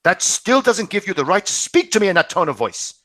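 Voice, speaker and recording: A man's voice: sits high, very animated, fairly quick, sharp tone, slight background noise, voice pushed up, heavily acted. A man reading a novel aloud, voicing a frightened, desperate middle-aged father close to tears. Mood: indignant. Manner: pleading, near tears.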